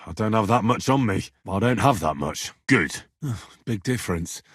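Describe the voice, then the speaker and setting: A man playing a plucky, wry young medieval adventurer in video game dialogue, conversational and dry, with a slightly husky voice. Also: fairly low pitch, animated, unhurried, no echo. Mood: firm, irritated, then dry, sardonic.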